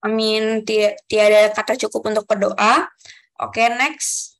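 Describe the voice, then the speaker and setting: A young woman leading an online group discussion as facilitator, politely reading out rules one by one with soft fillers over a video call. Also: mid-range voice, steady tone, slow pace. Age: young adult.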